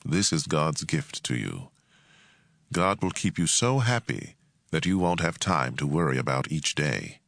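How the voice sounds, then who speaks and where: A man narrating scripture as a dramatized audiobook, in a measured, expressive and emphatic delivery. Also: a bass voice, creaky, no room sound, clean studio sound.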